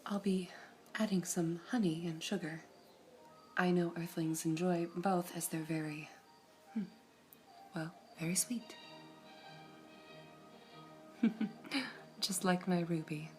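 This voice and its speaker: A woman voicing a serene all-seeing female gem in an ASMR roleplay, whispering softly in a measured, formal way. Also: on the low side, fairly even intonation, faint music behind. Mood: calm whispering.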